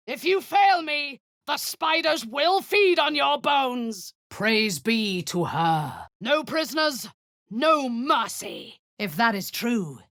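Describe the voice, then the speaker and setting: A woman's voice: fairly high pitch, a bit bright, no room sound, studio quality, heavily acted. A woman voicing a cruel, zealous drow warlord in a video game, giving cold commands that often sink into a menacing whisper.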